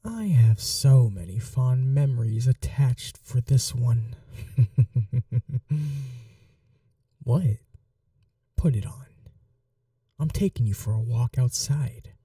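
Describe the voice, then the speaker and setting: A woman voicing a doting but cruel vampire mistress in an intimate ASMR roleplay, teasing and coaxing in a breathy voice that often drops to a whisper. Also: extremely low pitch, animated, takes it slowly, pauses often, warm tone, dry recording, studio quality, recorded from a distance, heavily acted.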